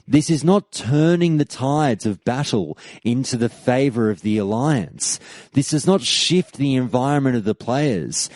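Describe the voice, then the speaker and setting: A man's voice: medium pitch, dark tone, dry recording. A man hosting a podcast, delivering a scripted, argumentative monologue in an emphatic, rhetorical, debate-style way. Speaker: podcast host. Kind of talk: scripted argumentative monologue, reading out forum posts.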